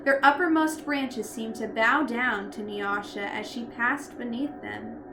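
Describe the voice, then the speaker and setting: A woman reading a storybook aloud in an expressive narrating style. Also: fairly high pitch, measured pace. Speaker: storybook reader. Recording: echoey room with loud background noise.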